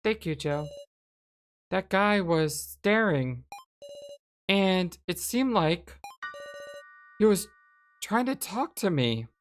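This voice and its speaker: A woman's voice: lowish voice, very animated, takes it slowly, long pauses, faint music behind, voice pushed up. A woman voicing a frightened, anxious teenage schoolgirl in a horror game, hesitant and emotive. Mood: relieved, uneasy.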